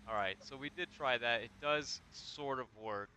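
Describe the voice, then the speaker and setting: A man's voice: medium pitch, normal speed. A man with a Michigan accent, chatting casually and laughing while playing a game with friends. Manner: relaxed, chatty, laughs easily. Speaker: host. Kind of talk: casual multiplayer gaming chat. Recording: noisy game capture.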